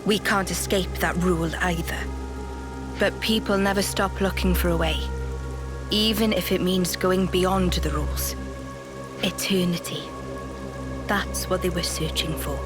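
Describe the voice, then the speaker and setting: A woman voicing Nia, a calm and wise young woman. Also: fairly low pitch, natural voice. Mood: solemn. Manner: measured, explanatory. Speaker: game character Nia. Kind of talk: video game cutscene dialogue. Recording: game cutscene with music behind. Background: game music.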